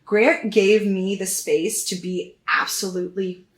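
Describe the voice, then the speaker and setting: A woman's voice: medium pitch, steady tone, unhurried. Chatty American woman in an echoey room. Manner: chatty, rambling, swears casually. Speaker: podcast guest. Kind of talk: casual chat, personal storytelling. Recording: roomy, echoing sound.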